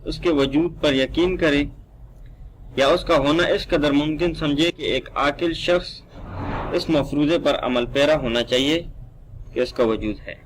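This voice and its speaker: A Pakistani man speaks as a law teacher, at a measured, slow overall pace. He reads formal text aloud and explains it in a deliberate, instructive manner. There is some faint noise behind his voice. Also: fairly high pitch, poor audio. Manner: deliberate, didactic, alternates reading and explanation. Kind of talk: lesson, reading statute text aloud and explaining it.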